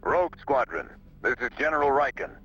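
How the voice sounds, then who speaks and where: An actor playing a steady, commanding rebel general briefing his pilots in a military style, in a video game. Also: medium pitch, brisk. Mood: firm, briefing. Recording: dull lo-fi game audio, faint music behind.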